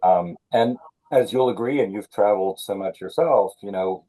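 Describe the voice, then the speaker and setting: A man born in Senegal, a thriller author being interviewed, talking in a measured way with many fillers and restarts. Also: on the low side, dark tone, poor audio. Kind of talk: conversational interview.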